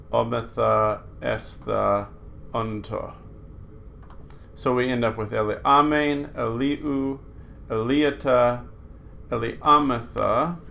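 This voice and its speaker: Slow, gravelly-voiced male Greek teacher. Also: mid-range voice, fairly bright tone, a little hiss or hum. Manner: unhurried, talking through slides with fillers. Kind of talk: recorded screen lesson.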